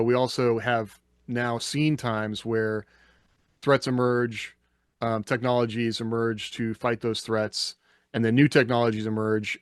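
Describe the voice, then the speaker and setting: A man's voice: medium pitch, dry recording, studio quality. A man hosting a casual panel chat in a playful, joking way. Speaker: podcast host.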